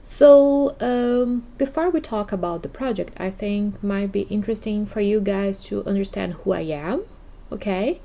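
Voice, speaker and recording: A woman's voice: medium pitch, a little slow, vocal fry, dark tone. A woman from Brazil, a native Portuguese speaker with a Brazilian accent, hosting a casual podcast and often checking in with "okay?". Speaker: podcast host.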